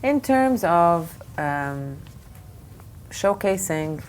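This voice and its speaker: Low-voiced woman, thoughtful guest. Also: sing-song, very slow, a little mellow. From Lebanon. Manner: thoughtful, frequent restarts and fillers. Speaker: art fair director, guest. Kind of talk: podcast interview answers. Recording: faint background noise.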